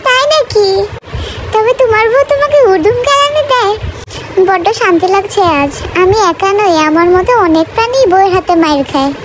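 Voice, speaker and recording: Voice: very high voice, moderate pace. A performer voicing a henpecked, timid male peasant creature in a comic cartoon, whiny and exclamatory. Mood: complaining. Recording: noisy cartoon soundtrack.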